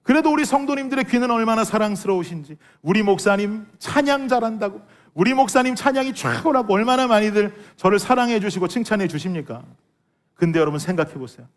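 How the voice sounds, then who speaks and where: A middle-aged man with a hoarse, worn voice, preaching an earnest, emphatic sermon as a pastor and putting rhetorical questions to his congregation. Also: high-pitched, lively intonation, quick pace. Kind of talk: Sunday sermon with personal testimony.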